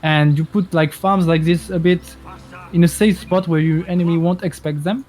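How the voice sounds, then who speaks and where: A man co-casts a strategy game, breaking down tactics in halting sentences that often restart. His voice is fairly high for a man, and music plays softly behind him. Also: steady tone. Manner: halting, explanatory, with many restarts. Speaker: co-caster. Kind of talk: game analysis commentary.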